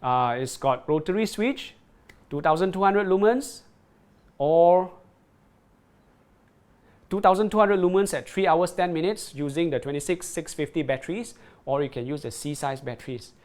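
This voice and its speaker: A man hosting a live product demo, talking off the cuff as he reads out viewer comments. His voice sits a touch higher than most men's. He stops and restarts a lot and keeps asking "right?". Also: darkish tone. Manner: halting, repeats words, checks in with "right?". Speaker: product presenter on a livestream.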